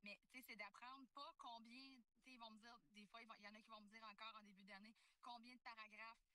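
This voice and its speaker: A woman's voice: a little high, steady tone, fast, bright, forceful tone, dry recording. A woman with a Quebec French accent, a teacher guest explaining things casually and spontaneously from a parked car.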